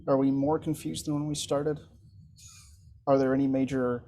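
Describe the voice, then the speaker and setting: A man whose first language is French, leading an instructor training session in a relaxed, encouraging way over a video call. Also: mid-range voice, moderate pace, a little hiss or hum. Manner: relaxed, encouraging, thinks aloud with ums. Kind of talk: casual group video-call discussion.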